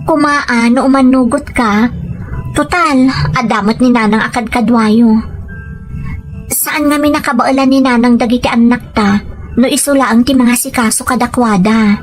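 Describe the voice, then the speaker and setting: Casting: a woman to play a determined, earnest wife and mother, higher-pitched, persuasive, story drama over soft music. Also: normal speed, lightly acted. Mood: pleading, insistent. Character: determined wife and mother set on working abroad. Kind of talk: dramatized first-person storytelling with dialogue.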